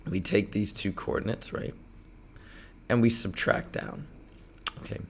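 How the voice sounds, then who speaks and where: Hoarse, gravelly male math tutor on a live stream; low voice, energetic step-by-step delivery. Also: unhurried, slight background noise. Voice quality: gravelly, strained. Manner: energetic, talks through problems step by step. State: hoarse, losing his voice.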